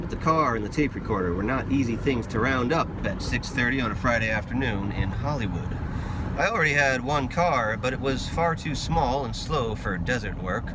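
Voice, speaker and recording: A man's voice: mid-range voice, noisy background. A man reading an audiobook as dramatic first-person narration. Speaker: audiobook reader. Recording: music and sound effects loud under voice.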